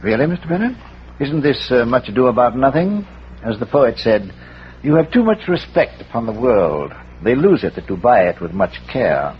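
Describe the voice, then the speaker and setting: An actor playing a courtly, unflappable scholarly gentleman, speaking formally and hesitantly in 1940s radio drama style. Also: medium pitch, sing-song, normal speed, lightly acted. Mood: mild, reproving. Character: courtly, scholarly, unflappable older gentleman sleuth who quotes poetry. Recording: muffled, hissy old radio recording.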